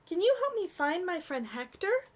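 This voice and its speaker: A woman voicing a small, curious, wistful girl in a storybook, speaking in a gentle, earnest way. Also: very high voice, sing-song, moderate pace, slight background noise, voice pushed up. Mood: hopeful, searching.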